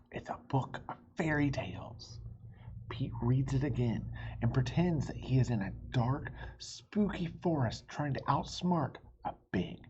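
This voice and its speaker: Very low-voiced woman reading a storybook. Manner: expressive, speaking directly to young listeners. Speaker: read-aloud storybook reader and host. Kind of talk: children's picture-book read-aloud with friendly asides. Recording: dull lo-fi sound with faint noise.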